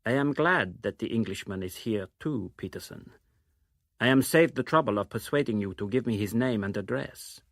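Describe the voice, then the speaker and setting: An actor playing a menacing, coolly mocking villain in a spy thriller reading, formal and measured. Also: male voice, on the low side, no echo, clean studio sound, natural voice. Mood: cool menace. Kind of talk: dramatised reading from a thriller novel.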